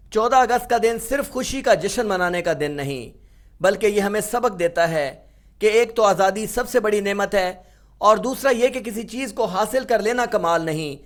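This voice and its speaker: A man delivering a formal, declamatory competition speech, slowing into recited verse between passages. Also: high voice. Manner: orotund, slows for poetry couplets. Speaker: speech competition model speaker.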